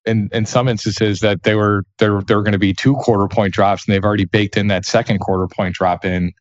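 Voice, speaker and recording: A man's voice: fairly low pitch, level tone, brisk, no room sound. A man hosting a business podcast, asking long questions padded with fillers. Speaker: podcast host. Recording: dry, dull lo-fi sound.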